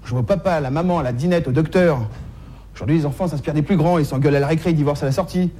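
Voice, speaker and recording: A man's voice: on the high side, rapid-fire, mellow. A man doing a stand-up comedy monologue in slangy, streetwise, mock-naive style, repeating phrases as he goes, on a live stage recording.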